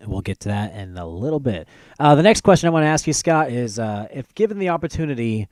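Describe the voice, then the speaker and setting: A man's voice: medium pitch, sing-song, fast talker, a little mellow, no echo, studio quality. A man hosting a casual podcast chat, with joking, announcer-like asides. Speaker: podcast host.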